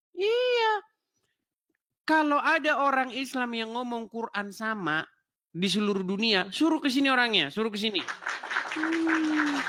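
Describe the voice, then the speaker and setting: A man with a high, bright voice runs a live call-in debate. He laughs at his callers and keeps talking over them with a mocking edge. The tone stays sharp and forward throughout. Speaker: host of a live interfaith debate stream.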